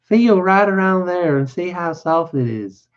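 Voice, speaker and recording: A man's voice: expressive, a little slow, dull audio, faint music behind. A man voicing a vain, scornful young married woman in a storybook reading, using colloquial rustic speech. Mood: complacent. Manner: raised pitch, rustic colloquial wording.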